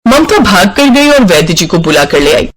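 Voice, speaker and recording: A male storyteller narrates a cartoon folk tale. His pitch is unusually high and moves up and down in a very lively way, as scripted narration for an animated story. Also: moderate pace, rough recording.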